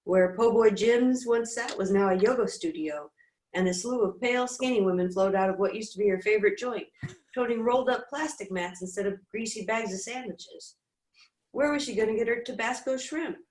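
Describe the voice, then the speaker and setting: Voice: medium pitch, normal speed, a little mellow. Brief: participant reading a short story, echoey room on a call. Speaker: workshop participant. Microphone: video call. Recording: roomy echoey call audio.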